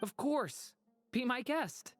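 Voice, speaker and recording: A woman playing an earnest, gentle young boy in an anime video game, with a youthful, polite delivery. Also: fairly high pitch, expressive, takes it slowly, faint music behind.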